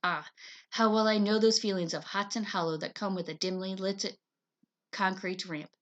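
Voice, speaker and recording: A woman teacher reading a chapter book aloud to children in an expressive, dramatizing manner. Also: mid-range voice, moderate pace. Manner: animated, dramatizes dialogue.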